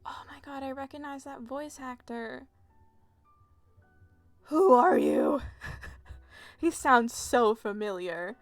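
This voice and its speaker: High-voiced woman streamer, giggly and rambling, game music under her. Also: slow, pauses often, no room sound. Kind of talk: live gameplay commentary.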